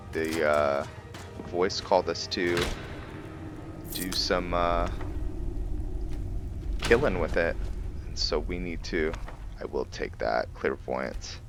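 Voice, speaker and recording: Deep-voiced man narrating gameplay, unhurried. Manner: halting, thinking aloud. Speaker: gamer hosting a roleplay let's play. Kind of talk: casual gameplay commentary.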